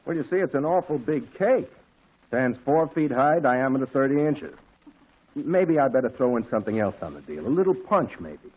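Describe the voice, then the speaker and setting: Folksy old caterer. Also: male voice, medium pitch, big pitch swings, normal speed, breathy edge. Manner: folksy, drawling, bargaining. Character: kindly older male shopkeeper and caterer, folksy. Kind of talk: 1940s radio acting. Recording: dull lo-fi old radio recording.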